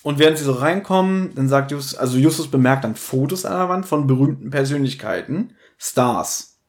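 A man's voice: medium pitch, expressive, normal speed. A man hosting a podcast, casually retelling and discussing a story, slipping into quoted dialogue. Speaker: podcast host.

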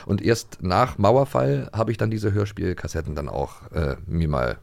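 A man's voice: on the low side, moderate pace, vocal fry, darkish tone, dry recording. A middle-aged man from East Germany co-hosting a studio podcast, chatting casually with hesitant fillers and laughter. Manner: hesitant, many fillers, laughs while talking. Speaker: podcast co-host. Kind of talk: casual chat.

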